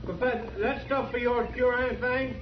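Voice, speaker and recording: An actor playing an earnest, naive rustic townsman with halting speech in an old-time radio drama. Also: very high voice, steady tone. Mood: earnest, insistent. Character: earnest, simple rustic townsman shouting from a crowd. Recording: echoey, distant, dull noisy radio recording with crowd.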